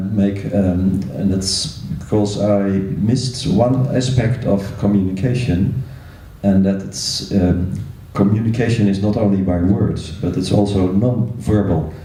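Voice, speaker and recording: Halting man in a very echoey hall. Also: on the low side, dark tone. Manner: hesitant, halting with repeated words. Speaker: audience member commenting. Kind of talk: spontaneous discussion comment. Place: large echoey room at a meeting. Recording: echoey hall, distant microphone.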